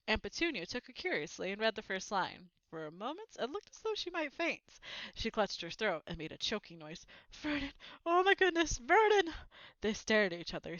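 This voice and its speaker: A woman's voice: medium pitch, pushed and bright, no room sound, muffled recording. A woman reading a storybook aloud as narrator, expressively.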